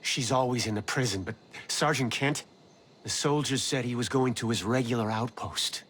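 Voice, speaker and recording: An actor playing a shaken, anxious former prisoner in a video game cutscene, talking urgently. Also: male voice, mid-range voice, moderate pace, a bit bright, a little hiss or hum, lightly acted. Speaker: released prisoner.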